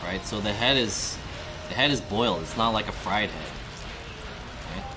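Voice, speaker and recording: A man's voice: fairly low pitch, expressive, normal speed, background noise. A man in his thirties chatting casually to viewers on a live stream while eating alone in a restaurant, rambling, swearing and often asking his audience questions. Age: 30s. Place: restaurant, indoors. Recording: music playing behind the voice.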